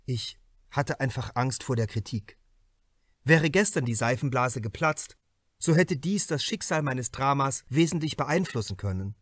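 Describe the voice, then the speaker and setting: A man's voice: medium pitch, lively intonation, measured pace, no room sound, studio quality. Man reading as an earnest, self-assured young writer. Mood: earnest, confessing. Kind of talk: acted audiobook reading.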